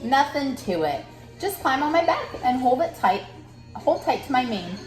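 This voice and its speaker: A woman's voice: high voice, very animated, moderate pace, echoey room, soft background music, low-quality recording. A woman reading the lines of a proud, lazy male lion king in a storybook. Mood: haughty. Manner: boastful, grand.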